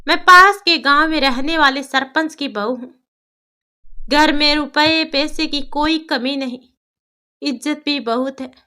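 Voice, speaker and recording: A woman's voice: high voice, bright, forceful tone, pitched below the performer's own voice. A woman voicing a sorrowful but determined young village mother in a cartoon story, earnest and plaintive.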